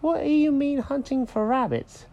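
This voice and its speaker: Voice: sits high, normal speed, lo-fi sound, pitched above the performer's own voice. A storyteller voicing a fussy, sensitive male cat with a prim, fretful and polite way of talking. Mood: alarmed.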